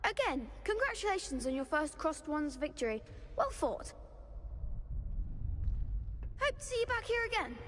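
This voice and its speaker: A very high-pitched young schoolboy from a fantasy video game, keen and theatrical as he organises duels. His polite, showy delivery rings in a long reverb over noticeable background noise. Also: very animated, moderate pace, long pauses, fairly bright tone, exaggerated voice. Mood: congratulatory. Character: eager, high-voiced schoolboy who coordinates a dueling club.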